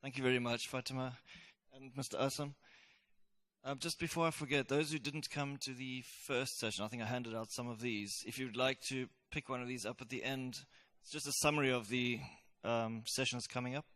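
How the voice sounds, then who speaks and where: A man hosting a school parents' session, thanking speakers and handing over in brisk announcements. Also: mid-range voice, steady tone, a bit bright. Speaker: meeting host introducing speakers.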